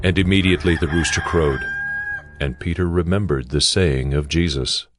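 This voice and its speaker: A man narrates a dramatized Bible story in a deep, low voice. The reading is scripted storytelling, with music playing clearly beneath him. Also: normal speed. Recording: music bed under the voice.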